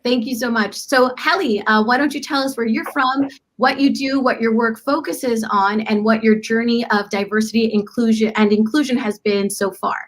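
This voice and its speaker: A woman's voice: fairly high pitch, steady tone, moderate pace. A woman moderating a roundtable in an upbeat way, with frequent "um"s. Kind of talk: hosting a roundtable.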